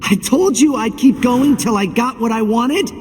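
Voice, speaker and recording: An actor playing an earnest, cocky young athlete hero in a video game cutscene, speaking casually. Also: male voice, very high-pitched, normal speed, slight background noise. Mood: cocky, excited. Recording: long hall reverb, music behind. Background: game music.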